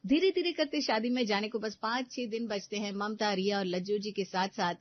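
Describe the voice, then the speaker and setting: A woman's voice: medium pitch, fairly quick, no room sound, muffled recording. A woman narrating a scripted family moral story as a storyteller.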